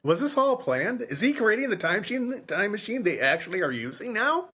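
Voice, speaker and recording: A man's voice: high voice, very animated, a little fast, pushed and bright, breathy edge. A man co-hosting a podcast in a casual book discussion, rambling and often repeating himself. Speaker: podcast co-host.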